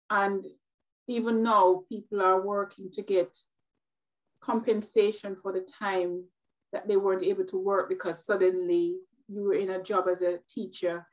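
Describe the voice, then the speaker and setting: A woman's voice: mid-range voice, low-quality recording. A middle-aged woman from Jamaica with a Jamaican accent, speaking earnestly and reflectively as an interview guest, often repeating words as she thinks. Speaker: guest, nurse. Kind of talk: interview conversation.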